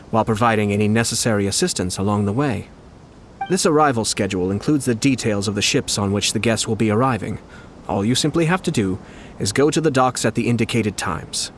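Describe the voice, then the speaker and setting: A man playing a composed young nobleman in a video game, formal, polite and measured in speech. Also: mid-range voice, slight background noise, close to an everyday voice.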